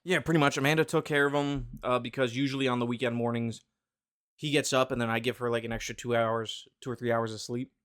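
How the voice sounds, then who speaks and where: A male podcast host chatting casually with his co-host. He jokes around and rambles, with plenty of fillers and false starts in a mid-pitched voice. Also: fairly even intonation, fast, a bit bright, clear, resonant tone. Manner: joking, rambling, many fillers and restarts. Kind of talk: casual chat.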